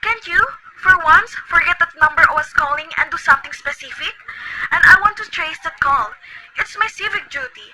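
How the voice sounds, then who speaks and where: Woman playing a nervous invalid wife, very high shrill voice, fretful and demanding, amateur radio play, audible background noise. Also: brisk. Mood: indignant. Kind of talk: student radio drama cover.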